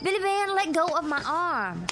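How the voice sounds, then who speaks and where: A woman's voice: extremely high pitch, very animated, moderate pace, exaggerated voice. An actress playing a sly, secretive young mountain woman with a rural Southern American accent in a radio drama. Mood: anxious, evasive. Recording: radio play with music bed.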